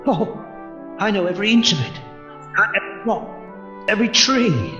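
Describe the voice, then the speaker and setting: A man's voice: high voice, animated, moderate pace. A man acting an elderly miser in a radio play, stammering, exclaiming and repeating his words with theatrical feeling. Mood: bewildered. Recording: roomy, dull audio with music.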